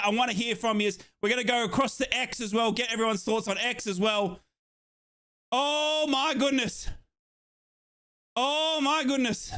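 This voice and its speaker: A man from Australia streaming a football watchalong, cheering and yelling excitedly, his voice hoarse from shouting. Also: very high-pitched. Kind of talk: excited fan commentary.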